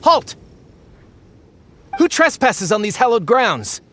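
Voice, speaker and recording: A man's voice: very high-pitched, very animated, slow pace, a bit bright, heavily acted. A man playing a blustering soldier on guard duty, challenging and formal, in a video game cutscene.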